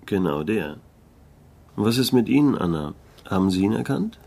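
An actor playing a laconic, disillusioned private detective who narrates and speaks in a German radio play. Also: male voice, lowish voice, expressive, moderate pace, gravelly, dark tone. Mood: cool, probing. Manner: laconic, dry, world-weary. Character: wry, disillusioned male private detective narrating his case.

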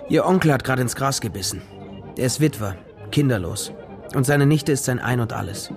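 An actor playing a glib, streetwise private detective in radio drama dialogue, brisk, casual and probing. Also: male voice, mid-range voice, lively intonation, creaky, over music, crowd noise.

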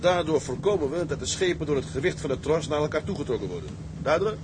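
An actor playing a level-headed, decisive tugboat captain who gives orders in a Dutch radio drama. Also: male voice, on the high side, lively intonation, fast talker, close to an everyday voice. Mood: briefing, authoritative. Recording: clear engine and sea ambience noise.

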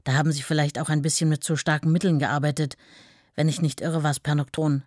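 Dry-sounding, long-suffering wife. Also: female voice, low voice, level tone, a little fast, darkish tone, no echo. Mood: troubled recollection. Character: writer's steady, long-suffering wife recalling their marriage. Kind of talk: radio play monologue.

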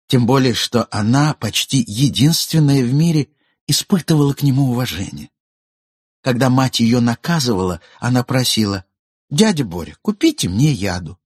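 A man reading literary prose aloud as an audiobook narrator, in a measured, ironic storytelling manner. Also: mid-range voice, husky, dry recording, studio quality.

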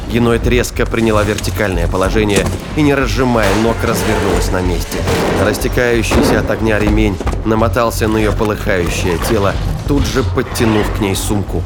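A man reading dramatic scripted narration for a science fiction thriller audio drama. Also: fairly low pitch. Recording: loud music and battle effects behind.

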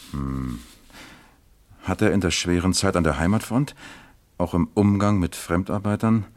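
A low-pitched police captain in a German radio crime play. He is deliberate and musing, putting his questions with dry, wry wit and probing patiently as an East German investigator. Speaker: actor in a radio crime drama.

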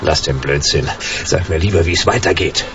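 An actor playing a world-weary private detective of the future in a radio drama, dry, laconic and sardonic, narrating in noir style. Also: male voice, rumbling low, a little fast. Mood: terse, dry. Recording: dull, noisy radio drama recording.

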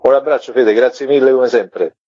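A man's voice: mid-range voice, normal speed, music in the background. A man answering questions as a guest in a radio interview. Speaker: guest, theatre artist. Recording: dull, roomy phone-in sound.

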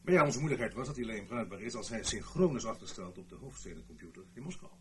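An actor playing an earnest British intelligence officer, giving a formal, measured explanation in a Dutch radio play. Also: male voice, on the low side, lively intonation, crowd noise, close to an everyday voice. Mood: patient, instructive. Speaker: intelligence briefer.

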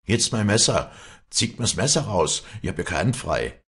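A man's voice: low-pitched, expressive, moderate pace. A man playing a brash, stubborn, commanding hunter with a Bavarian-Austrian Alpine accent, curt and challenging, in an audiobook. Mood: strained fury. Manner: curt, challenging, gruff dialect. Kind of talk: dramatic audiobook character dialogue.

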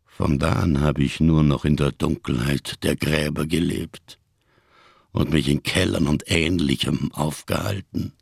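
A deep, gravelly giant of a man, a tattered survivor living in darkness. He declaims slowly with solemn, bitter irony, in the manner of a radio crime play. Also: steady tone, dry recording, clean studio sound, heavily acted. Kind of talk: German radio crime drama acting.

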